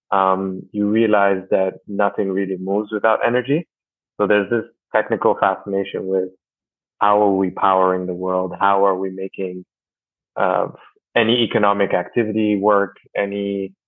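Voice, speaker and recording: A young man with a low, even voice talks through his background in a relaxed, thoughtful way, pausing often with fillers. He is from Rwanda and Canada. The sound is dry and thin, like a phone line. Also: no room sound. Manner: thoughtful, many "um" pauses. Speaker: guest, energy company manager. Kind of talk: casual interview talk.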